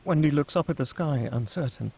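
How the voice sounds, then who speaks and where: A man narrates a screenplay with dramatic delivery, reading out scene headings and action. His voice sits slightly high for a man, with a gravelly creak and a husky edge. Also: brisk, warm tone. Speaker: narrator reading stage directions.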